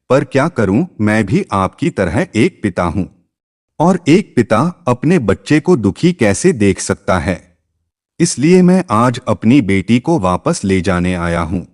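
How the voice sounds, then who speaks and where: A man's voice: fairly low pitch, very animated, slow, darkish tone, exaggerated voice. A man voicing a worried father in a moral story, earnest, apologetic and insistent. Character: worried father-in-law urging his daughter to come home. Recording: long reverb.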